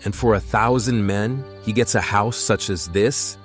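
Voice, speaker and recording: Man voicing a lowish, wry young man. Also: measured pace, soft background music, natural voice. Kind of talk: dramatised historical audiobook.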